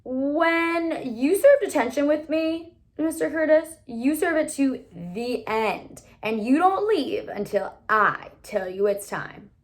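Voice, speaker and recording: A woman's voice: high-pitched, expressive, slow, few pauses, pitched above the performer's own voice, full character voice. Woman putting on a stern, emphatic male teacher. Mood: stern, threatening. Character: stern male teacher laying down detention rules.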